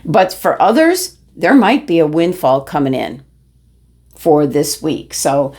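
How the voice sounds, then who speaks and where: Hoarse woman giving a tarot reading. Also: low voice, sing-song, slow, a little mellow. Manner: rambling, many fillers and restarts. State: losing her voice, throat clearing. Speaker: tarot reader. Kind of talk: casual monthly tarot reading.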